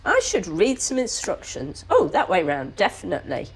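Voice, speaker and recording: A woman's voice: mid-range voice, huge pitch swings, moderate pace, husky. A woman co-hosting a casual vlog, unboxing a product with enthusiastic exclamations. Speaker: vlog co-host.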